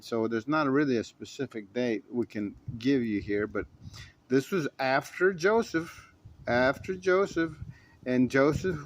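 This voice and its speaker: A man in his shop telling a story in the first person, in a folksy, meandering way full of restarts and self-corrections. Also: mid-range voice.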